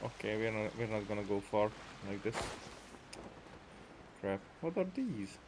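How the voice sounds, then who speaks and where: Animated man commenting on a video game. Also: fairly low pitch, unhurried, noisy background. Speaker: gaming video creator playing and commenting. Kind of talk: casual off-the-cuff gameplay commentary.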